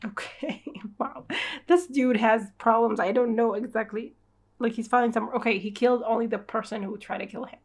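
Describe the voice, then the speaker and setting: A woman's voice: a little high, fairly even intonation, normal speed. A woman giving a casual read-along reaction as host, with informal, wry, sarcastic commentary.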